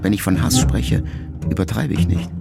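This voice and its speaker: A middle-aged man reading a literary memoir aloud in a measured, reflective first-person voice. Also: deep voice, creaky, loud music behind the voice. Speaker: audiobook narrator. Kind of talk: scripted literary narration.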